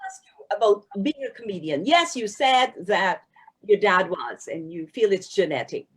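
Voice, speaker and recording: Woman interviewer, mid pitch, bit hesitant, friendly questions. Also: animated, moderate pace, husky. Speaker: interview host. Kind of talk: casual interview.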